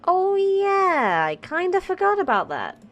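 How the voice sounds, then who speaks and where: A woman's voice: very high-pitched, huge pitch swings, unhurried. A woman gaming streamer giving casual let's play commentary, chatty, irreverent and sweary. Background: faint game music.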